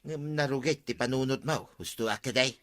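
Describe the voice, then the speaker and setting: An actor playing an exasperated, nagging village husband in a comedy radio drama. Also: male voice, medium pitch, fairly quick, fairly bright tone, close to an everyday voice. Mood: reproachful. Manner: nagging, reproachful.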